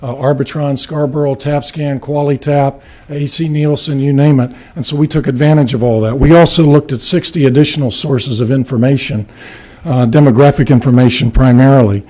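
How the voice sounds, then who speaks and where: A man with a raspy voice giving an earnest presentation to a city board, with a few fillers and restarts. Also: medium pitch, steady tone, mellow. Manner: earnest, slightly halting with fillers. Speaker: marketing firm presenter. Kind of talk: public presentation at a city meeting. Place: city commission meeting room.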